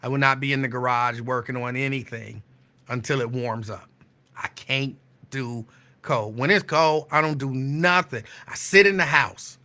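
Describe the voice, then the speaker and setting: Bright-voiced man chatting casually. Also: medium pitch. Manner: relaxed, rambling, drawn-out repeats. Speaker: show host. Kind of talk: casual live-stream chat.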